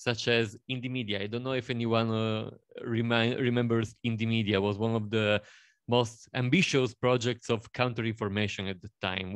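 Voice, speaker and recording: Man, 30s, Italian accent, halting with fillers, smooth resonant voice, dry close sound. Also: medium pitch, dry recording. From Italy. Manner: halting, with many fillers and repeated words. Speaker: panel guest. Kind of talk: self-introduction on a panel.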